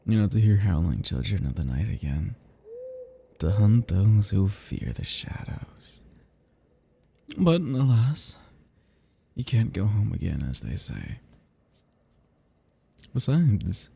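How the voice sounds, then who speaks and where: A man's voice: sits low, gravelly, dark tone, husky, heavily acted. A man playing a seductive, possessive vampire in an intimate ASMR roleplay, teasing and menacing, with archaic turns of phrase. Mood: brooding, menacing.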